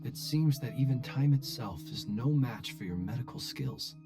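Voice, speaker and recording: An actor voices a courtly, admiring gentleman in a fantasy game. He speaks formally, with flowery politeness, in a mid-pitched voice. Music plays clearly behind him. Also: lively intonation, moderate pace, natural voice.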